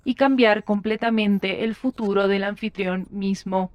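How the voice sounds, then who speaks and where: A woman voicing an automated device in an audio drama, giving formal, impersonal instructions in a measured scripted way. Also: mid-range voice, steady tone, a little mellow, dry recording, close to an everyday voice. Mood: neutral, formal. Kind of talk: scripted system announcement.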